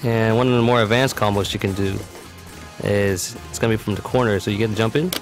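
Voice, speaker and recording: Low-voiced man giving game tutorial over music. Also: fairly even intonation, quick pace. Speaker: competitive gamer presenting a character guide. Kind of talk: explanatory video game tutorial commentary.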